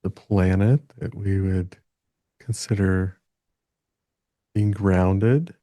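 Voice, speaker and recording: A man gently guides a meditation in a soft-edged, mellow voice on the low side. He speaks very slowly, in short halting phrases with long pauses. The sound is dry and close, free of room echo. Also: fairly even intonation.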